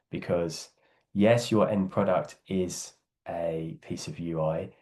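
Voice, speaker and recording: A man's voice: sits low, dark tone. A man speaking on a video call as a guest speaker, giving a loose webinar talk and answering questions, with many fillers and restarts.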